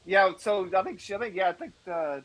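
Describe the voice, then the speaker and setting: A man's voice: high-pitched, brisk, a bit bright. A man on a live hobby talk show, telling a loose, rambling story full of "uh" and restarts. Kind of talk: casual chat, telling stories.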